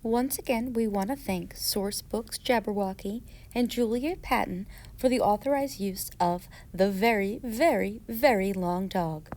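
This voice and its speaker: A woman's voice: medium pitch, a little slow, hardly any pauses, darkish tone. A woman giving scripted, step-by-step craft instructions for children in a patient, playful way.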